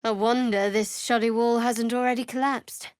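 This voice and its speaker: A guarded young woman cleric who serves a dark goddess, played by a game actress. Her voice sits a little high, with a wry, sardonic edge to the delivery. The recording is very dry, as game dialogue with no room around it. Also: steady tone, moderate pace, smooth, no echo, natural voice. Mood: wary, observant. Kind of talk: video game character voice lines.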